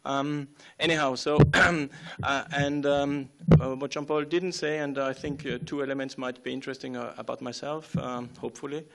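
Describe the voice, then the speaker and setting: A man giving a conference talk, halting with many fillers and restarts and often clearing his throat. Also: medium pitch, steady tone, fairly bright tone. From Austria. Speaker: conference speaker.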